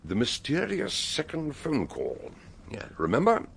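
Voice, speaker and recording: An actor in a radio drama playing a shrewd, blunt police superintendent with a brusque, knowing authority. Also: male voice, mid-range voice, wildly swooping pitch, a little slow, creaky, a bit bright, slight background noise, heavily acted. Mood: explaining.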